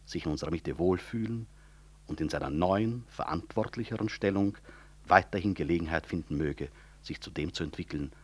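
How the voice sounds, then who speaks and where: Ironic hospital director, deep husky voice, measured formal speech, radio drama. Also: male voice, a little mellow. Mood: composed irony. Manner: courteous, measured, dryly ironic. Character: urbane, ironic male hospital director chairing a meeting. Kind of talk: German radio play, formal meeting speech.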